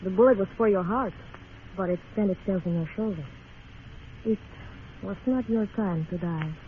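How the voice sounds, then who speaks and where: A woman's voice: medium pitch, normal speed, close to an everyday voice. A woman playing a mysterious, fatalistic young temptress, languid and teasing, in an old radio western. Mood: mysterious, calm. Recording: muffled, faintly noisy old radio recording.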